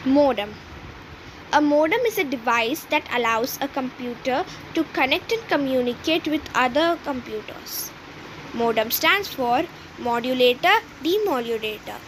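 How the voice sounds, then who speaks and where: High pitched lady reading out a school textbook, bit of noise behind her. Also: poor audio. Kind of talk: read-aloud lesson.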